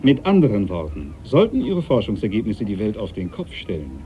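A man's voice: on the low side, wildly swooping pitch, brisk. An actor playing a proud, devout, domineering sea captain in a German radio play, speaking formally and needling those he addresses. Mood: probing, assured. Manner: formal, commanding, needling, cuts others off. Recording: dull, noisy 1980s radio recording.

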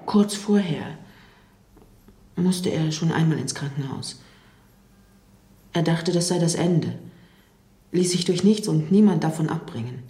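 Casting: sober narrator of memories, lowish dark voice for radio drama, reverberant room. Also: female voice, animated, a little slow, pauses often. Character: woman soberly recalling a dying man. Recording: roomy, echoing sound.

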